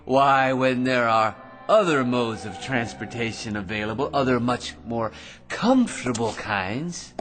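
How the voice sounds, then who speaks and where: An American actor in a radio drama playing a cocky, ordinary man who rambles smugly and stammers with excitement. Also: mid-range voice, unhurried, natural voice. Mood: gleeful scheming. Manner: rambling, smug, stammering excitedly, pestering with tag questions. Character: cocky, chattering, ordinary man full of crackpot schemes. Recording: radio drama with music underneath.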